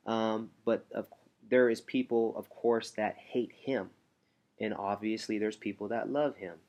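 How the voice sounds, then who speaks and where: A man working as a motivational coach, talking from home in a hushed, rambling way because his baby is asleep nearby. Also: on the low side, steady tone, a little slow, lo-fi sound. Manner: soft, hushed delivery to keep a sleeping baby quiet, rambling with restarts. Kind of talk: casual motivational talk to camera.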